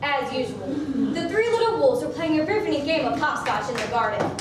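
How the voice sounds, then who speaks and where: A woman's voice: high voice, sing-song, brisk. A young woman narrating a story in a theatrical stage style. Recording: echoey noisy hall from far off.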